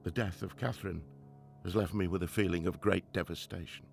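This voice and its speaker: Radio drama lead: male actor as grieving, driven middle-aged professor, formal 1920s manner, low gravelly voice. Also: steady tone, a little mellow, soft background music. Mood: sorrowful, solemn. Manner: formal, earnest, halting when troubled. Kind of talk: radio drama acting with diary narration.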